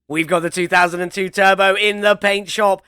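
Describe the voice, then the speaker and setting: A young man chats through his gameplay step by step, sounding a little under the weather from a chest cold. His voice sits fairly high and has a bright, forward ring. The recording is close and dry, with no room sound around him. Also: fairly even intonation, moderate pace, smooth, no echo. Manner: chatty, talks himself through each step. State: ill with a chest infection and cold. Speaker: gameplay commentator. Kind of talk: casual gameplay commentary.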